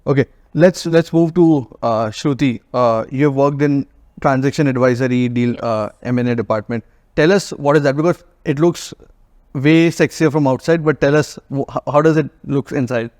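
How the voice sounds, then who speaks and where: A man's voice: mid-range voice, normal speed, a little mellow, no echo. A man with an Indian accent hosting a casual panel interview podcast. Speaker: podcast host.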